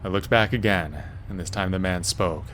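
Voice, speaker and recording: A man with a very low voice narrates a first-person horror story from a script. Faint ambient noise sits behind his voice. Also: moderate pace, a bit bright.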